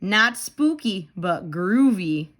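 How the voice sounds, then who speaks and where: A woman's voice: mid-range voice, expressive, takes it slowly, a bit bright. A woman voicing a cheerful, easygoing young cat boy from a children's storybook. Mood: relieved.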